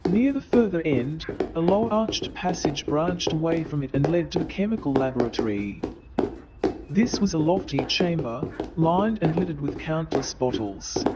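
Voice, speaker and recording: A man's voice: a little high, expressive, normal speed, muffled recording, lightly acted. A man playing the narrator of a Victorian detective tale in a staged radio play, with formal, descriptive storytelling. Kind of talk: scripted narration. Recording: very noisy, loud crowd, clicks.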